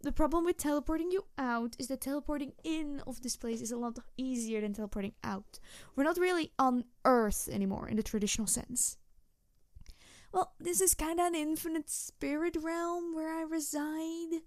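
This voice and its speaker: A woman voicing an excitable, playful young tanuki spirit in a roleplay audio, rambling and stammering as she talks to the listener. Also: high voice, moderate pace, dry recording, clean studio sound, lightly acted. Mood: anxious explaining.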